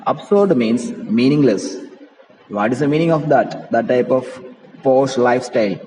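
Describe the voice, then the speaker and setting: A man's voice: mid-range voice, lively intonation, unhurried, a little mellow. Echoey Indian-accented male teacher explaining a text. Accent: Indian English. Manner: explanatory, repeats and rephrases points. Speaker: English teacher. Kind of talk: reading a play aloud and explaining it line by line. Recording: echoey reverberant room with background noise.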